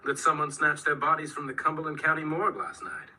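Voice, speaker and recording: An actor playing a brisk, wry, no-nonsense physician with a husky voice in a radio drama. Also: male voice, on the high side, level tone, pushed and bright. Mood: grim, blunt. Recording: distant replayed radio drama.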